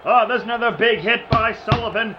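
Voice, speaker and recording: An actor playing an excitable boxing commentator calling a fight over a noisy broadcast. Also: male voice, very high-pitched, fairly quick. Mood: excited. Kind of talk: sports commentary. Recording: dull lo-fi broadcast, loud noise.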